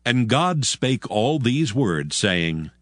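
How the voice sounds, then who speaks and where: A man narrating a dramatized scripture reading in a steady, measured storytelling manner. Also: medium pitch. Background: loud music.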